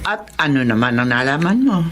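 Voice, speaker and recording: An observant businesswoman in a radio drama, probing as she speaks. Her pitch moves in wide swings, over faint background noise. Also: fairly quick. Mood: curious, probing. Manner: probing, expressive. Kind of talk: Filipino radio drama acting.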